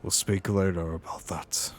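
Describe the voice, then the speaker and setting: A man voicing an earnest, wistful mercenary adventurer in his thirties for a fantasy game roleplay, in a halting, reflective way. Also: deep voice, little pitch movement, soft background music, natural voice. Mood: somber. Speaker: role-playing gamer voicing his character. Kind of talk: in-character fantasy game monologue.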